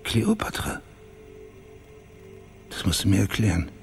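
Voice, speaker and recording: Radio thriller: cool male private eye, low voice, probing and dryly sardonic. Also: wildly swooping pitch, a little slow, gravelly, faint music behind, exaggerated voice. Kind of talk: naturalistic radio crime drama acting.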